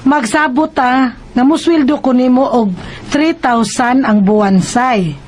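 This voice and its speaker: An actress playing a busy schoolteacher who employs a student helper, in a radio drama. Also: female voice, high voice, measured pace, a little mellow, slight background noise. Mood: reassuring, businesslike. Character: obliging single schoolteacher employer, busy and bossy at home. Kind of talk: Cebuano radio drama acting.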